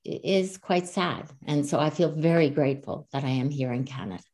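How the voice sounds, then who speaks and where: A woman's voice: low voice, normal speed, a little mellow. A woman in her sixties, born in the Czech Republic, talking earnestly and reflectively as a guest on an interview podcast, often restarting her sentences. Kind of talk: conversational interview. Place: Calgary, Canada.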